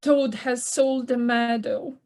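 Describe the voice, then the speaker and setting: A woman voicing a timid, homely little mole in an audio play, speaking in a gentle, plaintive way. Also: on the high side, steady tone, slow pace. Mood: worried. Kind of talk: community audio play acting. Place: living room, recorded over video call.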